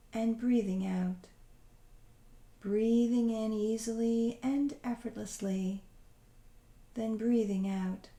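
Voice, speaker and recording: A woman leading a guided visualization meditation in a soothing, unhurried, gently instructing way. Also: mid-range voice, roomy sound.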